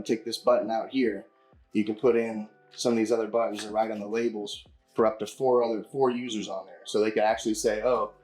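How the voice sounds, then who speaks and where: A man's voice: lowish voice, darkish tone. A man reviewing a product in a casual, hands-on demonstration, explaining informally and often repeating himself.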